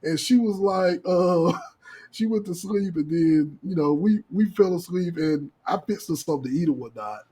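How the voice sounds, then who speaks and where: A man with a husky voice telling a casual podcast story in slangy, profane talk, acting out the dialogue. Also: high-pitched, expressive, normal speed, warm tone. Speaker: podcast co-host.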